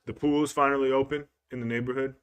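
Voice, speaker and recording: A man's voice: medium pitch, level tone, fast, clear, resonant tone, muffled recording. A young man co-hosting a podcast in casual chat, talking in a laid-back, rambling way and swearing often. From Cuban heritage. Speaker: podcast co-host.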